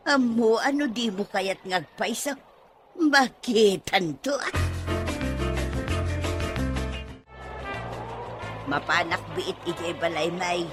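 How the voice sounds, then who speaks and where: A woman playing an excitable, sly, bickering village woman in a radio comedy drama, with an Ilocano accent. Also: mid-range voice, lightly acted. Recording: radio drama with faint background noise.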